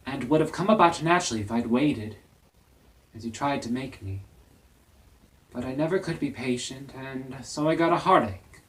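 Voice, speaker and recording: A man's voice: mid-range voice, lightly acted. Guy acting out a heartsick young married man, classic novel monologue, earnest n emphatic, a bit far from the mic. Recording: voice distant from the microphone.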